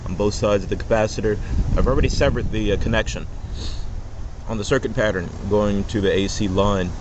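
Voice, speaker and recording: A man giving an informal hands-on tutorial as a DIY presenter, explaining step by step in a halting way. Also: fairly low pitch. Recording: dull lo-fi camera audio, loud noise.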